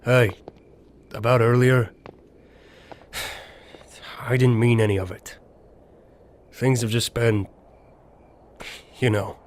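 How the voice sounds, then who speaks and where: Gruff, guarded young guy played by a man. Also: medium pitch, steady tone, slow, pauses often, a little hiss or hum, lightly acted. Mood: awkward. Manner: blunt, offhand, slangy. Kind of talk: amateur audio drama acting.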